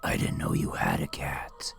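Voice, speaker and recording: A man playing a calm, righteous, menacing masked killer in a horror audio drama, speaking slowly in a breathy, low whisper. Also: soft background music, exaggerated voice. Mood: hushed menace. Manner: slow, hushed, deliberate.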